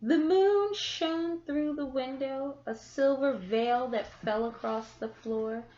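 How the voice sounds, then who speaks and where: A woman reading a children's picture book aloud, with deliberate, expressive phrasing and drawn-out words for young listeners. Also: sits high, a little hiss or hum. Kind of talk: children's story read-aloud. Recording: echoey room, slightly muffled sound.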